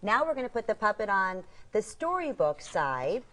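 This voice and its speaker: A woman's voice: medium pitch, sing-song, normal speed, sharp tone. A woman presenting products as a co-host on live shopping television, talking in a chatty way.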